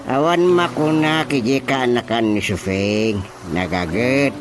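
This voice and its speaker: A man's voice: mid-range voice, unhurried, lightly acted. An actor playing an exasperated, gossiping village man in a comedic radio drama, full of exclamations. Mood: grumbling gossip. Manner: exclamatory, repeated interjections. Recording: music bed behind voices.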